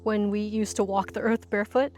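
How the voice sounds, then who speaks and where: A woman with a medium-pitched voice speaks as a guest instructor in an interview. She explains things conversationally, working through her thoughts aloud in long, linked sentences. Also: fairly even intonation, brisk, darkish tone, faint music behind.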